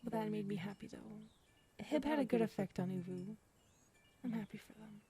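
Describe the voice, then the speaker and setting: A woman acting in an ASMR audio roleplay as a gentle, shaken specialist who confides haltingly and softly. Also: medium pitch, husky. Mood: soft, reassuring. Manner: soft-spoken, hesitant, halting.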